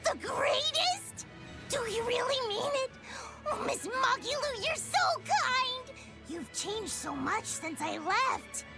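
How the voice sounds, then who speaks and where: An extremely high, squeaky little creature played by a woman from a video game. It is needy and servile, swinging wildly in pitch as it gushes and whines. Music plays prominently underneath. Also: animated, slow pace, exaggerated voice. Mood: tearful, gushing. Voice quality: squeaky, childlike. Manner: melodramatic, whiny, gushing. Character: small excitable, needy, servile male spirit sidekick. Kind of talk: cartoonish video game acting.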